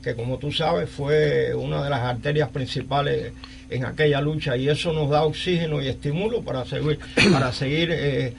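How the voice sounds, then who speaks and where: An older man with a Cuban accent hosting a live radio talk show, speaking haltingly and repeating phrases. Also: on the high side, fairly even intonation, creaky, slight background noise. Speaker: radio host. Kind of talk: live call-in talk, recounting and interviewing.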